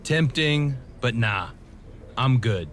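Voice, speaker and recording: An easygoing, earnest game wrestler talks casually and a bit sheepishly. His medium-pitched voice moves up and down a lot, over faint background noise. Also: lively intonation, slow pace, fairly bright tone. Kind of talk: casual video game cutscene dialogue.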